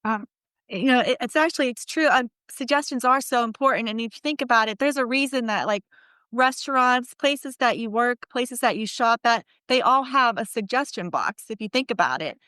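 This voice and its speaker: A woman's voice: fairly high pitch, normal speed, sharp tone, no echo, studio quality. A woman co-hosting a podcast, explaining ideas in casual, conversational talk. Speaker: podcast co-host.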